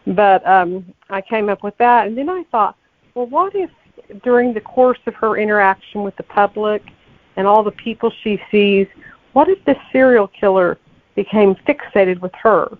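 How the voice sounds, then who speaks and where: A woman's voice: mid-range voice, normal speed, no echo. A woman guest, a novelist, chatting casually in an interview and thinking aloud over a narrow, phone-like connection. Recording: tinny, phone-like, narrow-band line.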